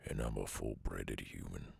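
Very deep gravelly old Southern werewolf. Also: male voice, fairly even intonation, exaggerated voice. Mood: gruff, resigned. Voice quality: very gravelly, husky growl. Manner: halting, brooding drawl with long pauses. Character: gruff weary three-hundred-year-old male werewolf, guarded yet tender. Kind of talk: slow intimate ASMR audio roleplay.

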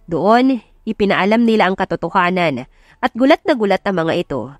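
A woman's voice: medium pitch, animated, normal speed, no room sound, studio quality. A woman with a Filipino accent narrating a scripted romance story. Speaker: audiobook narrator.

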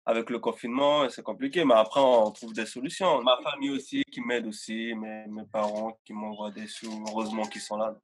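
A man in his twenties talks casually from a room on a video call. His voice is fairly low, with a French Guianese accent. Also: little pitch movement, a little fast, few pauses, soft background music. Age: 20s. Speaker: guest, DJ. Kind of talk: casual chat.

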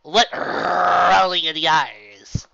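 A woman's voice: on the low side, fairly even intonation, very slow, gravelly, sharp tone, voice pushed up, exaggerated voice. A woman voicing a wry, guarded female pony gym leader who is easily worried. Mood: wary. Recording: dull, dry lo-fi recording.

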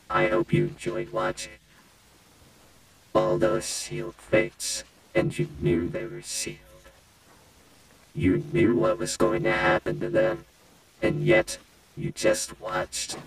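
A man voicing a broken animatronic rabbit villain with a gravelly voice, taunting with mock-friendly, playful menace in horror-game lines. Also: low voice, big pitch swings, slow pace, long pauses, heavily acted, poor audio. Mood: accusing, sinister. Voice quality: gravelly, creaky. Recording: loud music under the voice.

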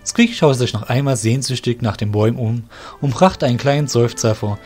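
A man narrating an audio drama in a steady, measured way. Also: mid-range voice, soft background music.

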